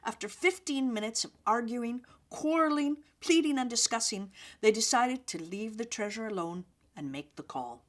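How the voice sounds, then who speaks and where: A woman storyteller reading a children's story aloud in an expressive, deliberate way. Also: a little high.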